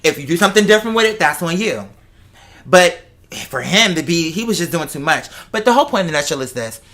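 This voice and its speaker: Young american guy just chatting, talks real fast, kinda high bright voice, very emphatic. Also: lively intonation. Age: 20s. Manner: emphatic, opinionated, conversational. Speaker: host and performer. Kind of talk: casual animated chat.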